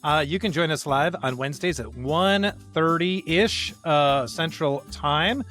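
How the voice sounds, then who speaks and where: A man's voice: fairly high pitch, takes it slowly, a bit bright, soft background music. A man co-hosting a religious podcast in an earnest, emphatic conversational way, often checking for agreement. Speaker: podcast co-host.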